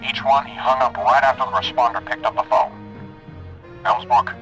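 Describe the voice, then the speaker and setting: A man's voice: medium pitch, normal speed, over music, natural voice. A man playing an earnest police sergeant in a radio drama, businesslike and urgent.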